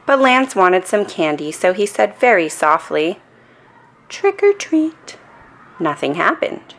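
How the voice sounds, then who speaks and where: A woman reading a children's story aloud in an expressive, deliberate way with dramatic emphasis. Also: fairly low pitch. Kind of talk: animated bedtime story read-aloud.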